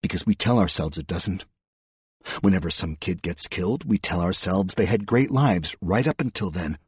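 A man's voice: low-pitched, breathy edge, dry recording. A man voicing a scornful, coldly relentless female police detective who is sardonic and confrontational, in an audiobook story.

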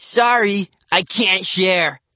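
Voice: medium pitch, takes it slowly, pushed and bright, no room sound, pitched above the performer's own voice. A narrator voicing a skinny, indignant boy prankster who whines and protests in a storybook. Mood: cheeky.

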